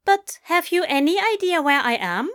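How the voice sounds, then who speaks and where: A woman voicing a polite, bewildered British gentleman in precise, enunciated tones for an audio story. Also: very high voice, lively intonation, normal speed, voice pushed up. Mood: puzzled, courteous. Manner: genteel, over-polite, fussy.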